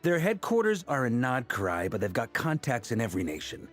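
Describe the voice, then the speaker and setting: An actor playing a seasoned, easygoing knight commander in a video game, self-assured and casual with a wry streak. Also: male voice, medium pitch, huge pitch swings, a little fast, soft background music. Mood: confident, welcoming.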